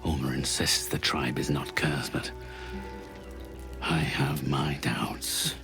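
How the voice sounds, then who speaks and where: A very deep, gravelly grieving warrior. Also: male voice, steady tone, slow, music in the background, heavily acted. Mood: mournful, doubtful. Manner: solemn, heavy. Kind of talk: dramatic fantasy video game acting.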